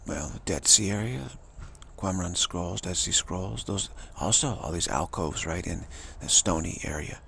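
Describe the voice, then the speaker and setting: A man giving a rambling, informal spiritual monologue in short, halting phrases that trail off. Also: low-pitched, lively intonation, gravelly, sharp tone, slight background noise. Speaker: spiritual vlogger.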